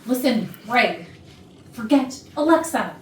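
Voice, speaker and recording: A woman's voice: fairly high pitch, animated, slow pace, a little mellow. A woman acting a flirty, catty, self-satisfied HR manager in an arch, sarcastic way at a comedy staged reading. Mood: flirty, coaxing. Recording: noisy roomy rehearsal, far from mic.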